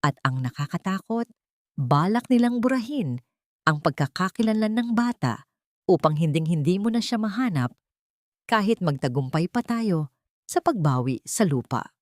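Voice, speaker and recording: A woman's voice: on the low side, lively intonation, normal speed, darkish tone, dry recording, studio quality, natural voice. Woman voicing a resolute, intense Filipino avenger. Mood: tense, urgent. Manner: earnest, tense, resolute. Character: steadfast, intense man plotting revenge, devoted lover.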